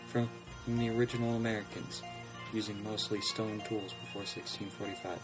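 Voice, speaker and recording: Man, low and monotone, measured poem reading; set back from the mic with strumming guitar behind. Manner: flat, measured recitation. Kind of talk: reading a personal poem aloud. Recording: distant voice over loud guitar music.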